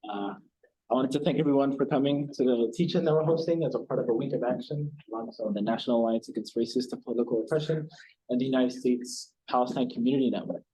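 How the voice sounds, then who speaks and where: A man hosting an event, giving a halting introduction and stumbling over his words. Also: medium pitch, mellow, slight background noise, poor audio. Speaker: event host.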